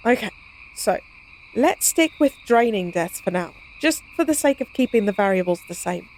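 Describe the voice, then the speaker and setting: A woman's voice: mid-range voice, animated, normal speed, darkish tone, slight background noise, natural voice, rough recording. A woman playing a playful, cocky, science-obsessed vampire in an intimate audio roleplay, speaking in a casual, teasing, wry way. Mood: curious, wry.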